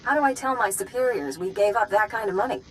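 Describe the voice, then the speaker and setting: An actress playing a weary, pragmatic colonial official in a video game, frank and serious. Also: female voice, medium pitch, expressive, quick pace, noisy background, lightly acted. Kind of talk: scripted video game dialogue.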